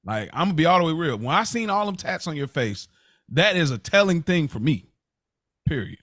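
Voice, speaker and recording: A man's voice: a little high, sing-song, brisk, a bit bright, no echo, studio quality. A man in casual, opinionated podcast discussion, talking slangily and repeating phrases for emphasis. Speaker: podcast co-host.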